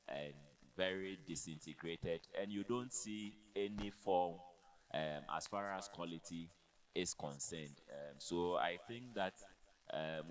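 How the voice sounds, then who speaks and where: Man, Ghanaian accent, extremely deep pitch, panel speaker, measured formal delivery. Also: poor audio. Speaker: conference panelist, health quality expert. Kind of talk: panel discussion remarks.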